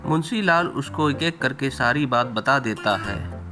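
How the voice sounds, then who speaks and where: A man's voice: medium pitch, animated, measured pace, music in the background. A man narrating a scripted cartoon story as the storyteller.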